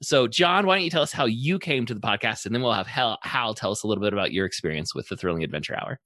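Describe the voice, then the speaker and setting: Bright-voiced man hosting a podcast. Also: lowish voice, expressive, quick pace, no echo. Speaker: podcast host. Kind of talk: scripted introduction and casual discussion.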